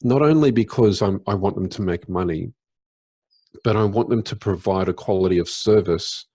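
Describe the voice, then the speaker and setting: A man with a fairly low voice co-hosts a relaxed podcast conversation. He is reflective, harping on his point with small restarts and stumbles. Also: normal speed, slightly rough.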